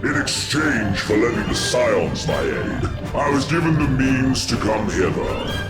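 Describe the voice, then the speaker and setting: A man playing a coldly calm, obsessive warrior prince villain in a video game, theatrical and formal in his measured delivery. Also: mid-range voice. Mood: grandiose, intent. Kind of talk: dramatic video game voice acting. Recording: echoing, under loud music.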